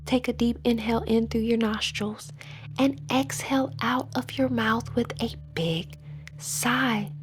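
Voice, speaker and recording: A woman with a slightly high voice guides a meditation at a very unhurried pace. Her delivery is scripted, soothing and measured. The ends of her phrases often turn soft and breathy.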